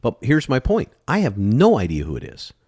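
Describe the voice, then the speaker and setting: A man co-hosting a podcast, making his case with drawn-out emphasis and rhetorical questions. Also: medium pitch, wildly swooping pitch, darkish tone, slightly rough, no room sound, studio quality. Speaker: podcast co-host. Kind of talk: casual debate.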